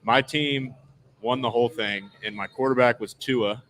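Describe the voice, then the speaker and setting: A man's voice: medium pitch, steady tone, moderate pace, a bit bright. A man in his twenties with an American accent, answering interview questions as an athlete in a casual, laid-back, modest way. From Southern California, United States. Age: 20s. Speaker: American football player being interviewed. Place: live event at a casino.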